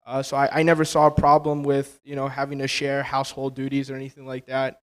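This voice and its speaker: Smooth-voiced eighteen-year-old man. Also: medium pitch, monotone, fast talker. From Albanian household. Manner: casual, uses fillers like "you know what I mean". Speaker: panelist. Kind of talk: casual panel answers.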